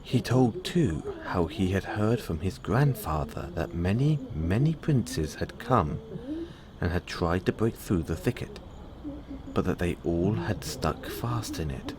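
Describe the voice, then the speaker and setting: A man reading a bedtime fairy tale as a storyteller, in measured storybook narration. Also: deep voice, animated, crowd noise. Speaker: storyteller playing a boyfriend in audio roleplay. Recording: clearly audible background noise.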